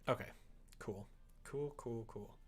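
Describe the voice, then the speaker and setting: A man's voice: mid-range voice, slow, a little mellow, husky. A man streaming casually, talking informally and muttering to himself as he works. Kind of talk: casual livestream chat while coding a visual novel.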